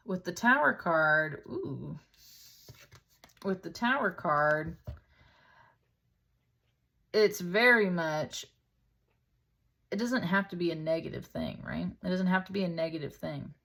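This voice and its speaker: A woman's voice: lowish voice, takes it slowly, creaky, pauses often, fairly bright tone. A woman giving a casual, conversational tarot reading in an informal, chatty way, as if thinking aloud. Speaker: tarot reader, host.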